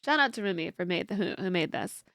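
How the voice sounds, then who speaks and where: A woman's voice: on the low side, lively intonation, fast, gravelly, fairly bright tone, no echo, clean studio sound. A young woman streamer chatting casually with a congested, nasal voice, rambling as she answers her chat. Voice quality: nasal, stuffed-up voice. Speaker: livestreamer. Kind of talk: casual stream chat while watching videos.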